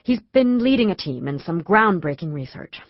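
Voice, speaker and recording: Anxious, educated scientist wife in audio drama: emotional, swooping delivery, slightly husky, close dry sound. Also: female voice, lowish voice, big pitch swings, dry recording, dull audio. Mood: composed, earnest. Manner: emotional, halting, earnest. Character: distraught astrophysicist wife, anxious, educated, drinking, worried for husband. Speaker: actor in a radio play.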